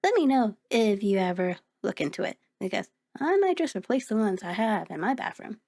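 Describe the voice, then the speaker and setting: A woman voicing a kind, playful mirror reflection in an ASMR roleplay, speaking gently and encouragingly with hesitant pauses. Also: medium pitch, sing-song. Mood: lighthearted, chatty. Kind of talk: ASMR roleplay, addressing the listener.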